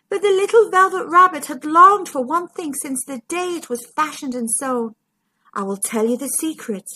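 A woman storytime host with a husky voice, reading a children's story expressively to young listeners. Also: high voice, sharp tone. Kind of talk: storybook read-aloud narration.

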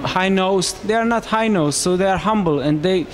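A man in his thirties from Romania, speaking English, answering interview questions into a handheld mic in a small room, with halting phrasing and frequent restarts. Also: sits high, over music. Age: 30s. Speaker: marathon runner interviewee.

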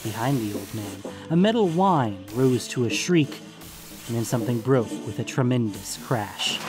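A man's voice: mid-range voice, expressive, moderate pace, music in the background. A man reading scripted fantasy story narration as the narrator.

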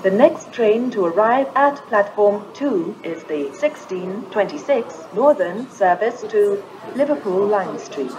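A British woman makes formal automated train station announcements. She speaks slowly, in measured, pieced-together phrases. The sound is echoey, with clear noise behind her. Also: medium pitch. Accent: British English.

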